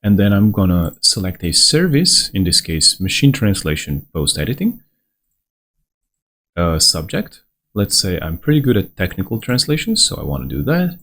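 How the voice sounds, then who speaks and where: A man's voice: sits low, big pitch swings, moderate pace, fairly bright tone. Man, tutorial presenter, reassuring step-by-step tone, faint background hiss. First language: Slovenian. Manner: conversational step-by-step guiding, reassuring. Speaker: onboarding guide presenter. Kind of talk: screen-recorded software walkthrough.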